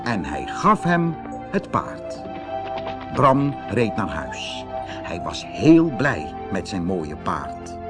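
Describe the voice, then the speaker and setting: A man narrating a scripted fairy tale for an audiobook in an expressive, dramatic storytelling manner, with a husky voice. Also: fairly low pitch, extremely slow, music in the background.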